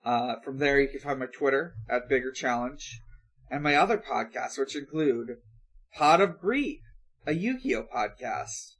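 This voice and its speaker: A man hosting a podcast in casual chat, speaking in a halting way with stretched pauses. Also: mid-range voice, expressive, a bit bright. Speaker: podcast host.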